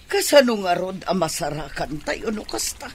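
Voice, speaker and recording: A man's voice: sits high, sing-song, normal speed, slight background noise. An actor playing an agitated, scolding older man in a radio drama. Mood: fretful.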